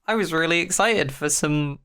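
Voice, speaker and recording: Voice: medium pitch, normal speed, a loud crowd. A wry, opinionated podcast co-host chatting casually in a teasing, informal way. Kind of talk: casual chat.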